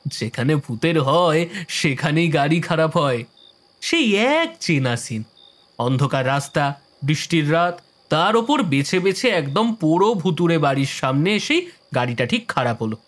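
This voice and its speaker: A man playing a cheeky young listener in an audio drama, teasing a storyteller with sarcastic, drawn-out emphasis. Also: on the high side, sing-song, a bit bright. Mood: teasing, mocking.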